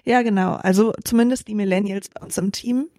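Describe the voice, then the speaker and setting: Young woman founder, dark mellow voice, dry close sound, thinks out loud with lots of fillers. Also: mid-range voice, steady tone, fairly quick, no echo. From Germany. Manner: thoughtful, many fillers and restarts. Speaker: startup founder, guest. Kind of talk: reflective interview answers.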